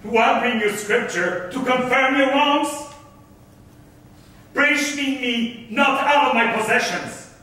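A man's voice: very high voice, slow, pushed and bright, heavily acted. A man playing a wronged, defiant rich merchant in a staged reading of old verse, declaiming with passion. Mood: indignant. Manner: declamatory, impassioned. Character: wronged, bitter, defiant wealthy merchant of Malta. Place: theatre stage in a hall. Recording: echoey stage, distant microphone.

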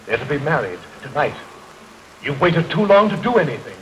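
A man's voice: on the high side, very animated, a little fast, noisy background. An actor playing a desperate, scheming suitor in a 1930s film melodrama, earnest and florid in his pleading. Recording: vintage 1932 film soundtrack under rain. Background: rain, storm, music.

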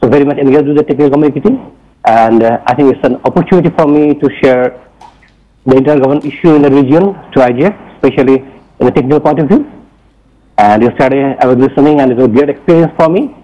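A man's voice: medium pitch, fast, mellow. A middle-aged man with a Bangladeshi accent introducing himself to a meeting as a delegate. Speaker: technical community delegate, industry executive. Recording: dull, muffled remote audio.

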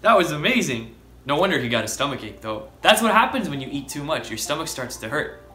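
A man's voice: on the high side, big pitch swings, pushed and bright. Young man reading aloud, music behind. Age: young adult. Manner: clear, deliberate, addressing children directly. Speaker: children's story time host. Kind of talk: read-aloud storytelling for preschoolers. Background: background music.

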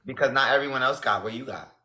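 A hoarse nineteen-year-old man from the Bronx, chatting and teasing on a phone livestream. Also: medium pitch, fast, sharp tone, roomy sound. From the Bronx, New York. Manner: slangy, laughing, teasing. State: hoarse, losing his voice. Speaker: livestream guest. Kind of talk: casual livestream banter. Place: indoor room. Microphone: phone at arm's length.

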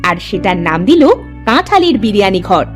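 A woman's voice: medium pitch, very animated, moderate pace, soft background music. A woman narrating a children's cartoon tale in a scripted storybook style.

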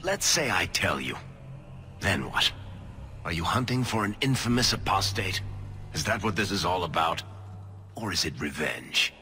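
Deep-voiced wry rogue storyteller. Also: male voice, sing-song, normal speed, long pauses, sharp tone, faint music behind, full character voice. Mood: wry, probing. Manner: sardonic, dramatic storytelling. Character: wry, roguish male dwarf storyteller, middle-aged adventurer. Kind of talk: video game voice acting, narrative.

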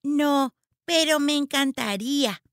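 A woman's voice: high voice, slow, a bit bright, no echo, studio quality, pitched above the performer's own voice, exaggerated voice. A woman voicing a cheerful, boastful young boy wizard in a storybook, breezy and confident. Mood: cheerful bravado.

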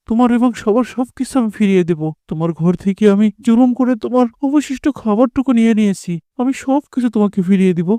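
A cartoon village moneylender, acted by a man, with a high-pitched male voice. He talks in a bossy, blustering way, and the sound is mellow and dry. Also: no echo, close to an everyday voice. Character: harsh, bullying moneylender man, later humbly repentant. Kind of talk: cartoon voice acting.

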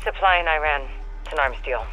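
A brisk military officer with a fairly high, sharp voice, commanding over radio in a war game, with faint music behind. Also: male voice, poor audio. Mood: alert. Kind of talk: video game voice acting.

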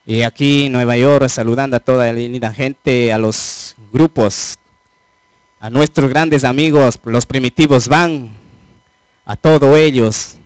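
Slow-talking Ecuadorian highland man. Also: steady tone, no room sound, poor audio. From Cañar province, Ecuador. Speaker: singer, guest. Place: small indoor TV studio. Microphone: handheld microphone.

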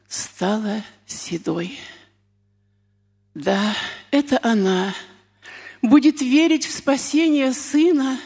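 A woman recites poetry solemnly and very expressively, taking her time over each phrase. Her voice is fairly high and a little husky. It rings with long reverb, as in a large hall. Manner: deliberate, expressive, with drawn-out words and pauses.

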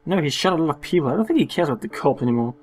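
A man's voice: a little high, lively intonation, rapid-fire, darkish tone, husky, faint music behind. A man hosting a let's play, giving joking, sarcastic and irreverent commentary on a video game.

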